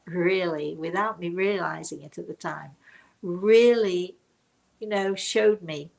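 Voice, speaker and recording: An older woman telling reflective personal stories to her viewers in an emphatic, deliberate manner, often turning to rhetorical questions. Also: fairly low pitch, creaky, poor audio. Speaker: speaker and storyteller hosting her own show.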